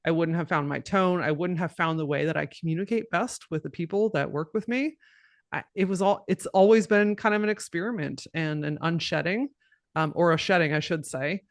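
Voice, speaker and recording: A woman with a low voice talking bluntly and directly as a podcast guest, cussing freely and laughing often. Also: fairly quick, dry recording, clean studio sound. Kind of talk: casual podcast conversation.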